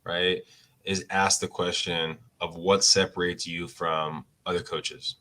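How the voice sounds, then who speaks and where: A man with a low, deep voice hosting a casual business podcast. He asks his questions in a loose, rambling way and laughs easily between them. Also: little pitch movement, takes it slowly, bright, forceful tone. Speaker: podcast host.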